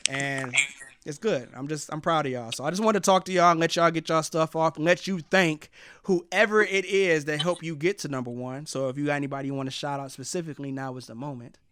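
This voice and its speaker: A man's voice: fairly high pitch, fairly quick, a bit bright, clean tone. A man hosting a casual radio interview, speaking in an informal, frank and slangy way. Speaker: radio host and DJ interviewing an artist.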